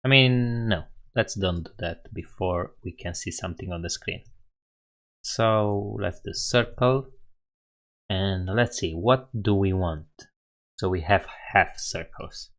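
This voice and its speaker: A man's voice: sits low, takes it slowly, fairly bright tone. A man with a Romanian accent hosting a live coding stream, thinking aloud and correcting himself as he types. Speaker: coding livestream host. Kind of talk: casual live coding narration.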